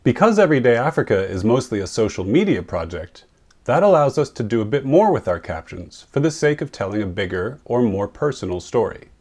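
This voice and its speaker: A man who is a photographer narrates a scripted lesson in a clear, measured way. He lays out each point plainly. His voice is at an average male pitch. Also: big pitch swings, darkish tone. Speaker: photographer and presenter.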